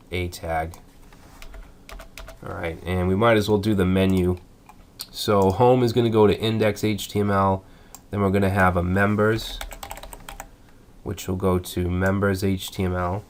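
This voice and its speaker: A man with a stuffed-up voice from a cold, calmly explaining web code step by step in a screencast tutorial. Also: low-pitched, a little slow, pauses often, a little hiss or hum. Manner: methodical, thinking aloud with fillers. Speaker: coding tutorial instructor.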